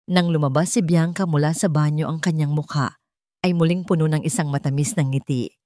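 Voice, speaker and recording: A woman's voice: low-pitched, fairly even intonation, a little fast, dark tone, no room sound, clean studio sound. A woman narrating a scripted dramatic story as a storyteller.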